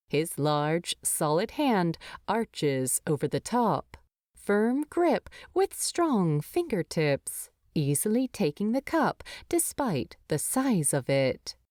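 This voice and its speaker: A woman's voice: fairly low pitch, sing-song, slow, darkish tone, no room sound, studio quality. A woman reading a scripted story aloud as its narrator. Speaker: fan fiction narrator.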